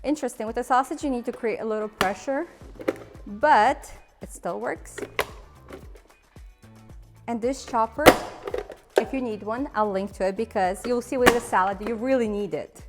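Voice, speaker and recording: A woman's voice: fairly high pitch, moderate pace, long pauses, over music. A woman in her thirties from Ukraine with a Ukrainian accent, chattily hosting a cooking demonstration and laughing at her own slips. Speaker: cooking show host.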